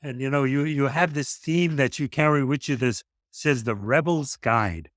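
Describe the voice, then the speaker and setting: A man's voice: medium pitch, normal speed, fairly bright tone, dry recording, clean studio sound. A man hosting an interview, praising his guest effusively and with emphasis. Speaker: host. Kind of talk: interview chat.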